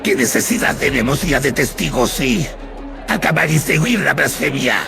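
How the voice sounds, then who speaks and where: A man playing an indignant, accusing high priest in a scripture radio drama. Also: moderate pace, vocal fry, music in the background, natural voice. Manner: forceful, accusing.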